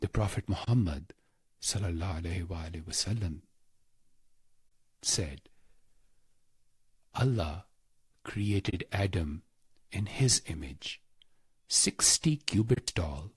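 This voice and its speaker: Man, very breathy husky voice, slow pacing, solemn guided meditation, close dry sound. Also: low-pitched, lively intonation, no echo. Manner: slow, deliberate, pausing between short phrases. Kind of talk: scripted guided meditation narration.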